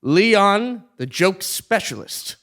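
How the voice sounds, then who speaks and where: A man's voice: sits high, sing-song, slow, a bit bright, heavily acted. A man playing a foolish, cheerful king with pompous, theatrical delivery in a comedic stage play. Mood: gleeful, pompous. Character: foolish, cheerful male king, whimsical and oblivious. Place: theatre stage before live audience. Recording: live theatre stage recording.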